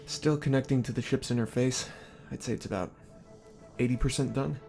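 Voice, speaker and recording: An actor playing a calm, earnest young male inventor with a gravelly voice and a dry, teasing manner. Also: mid-range voice, brisk, soft background music, natural voice. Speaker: inventor and narrator. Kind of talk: fan audio drama acting.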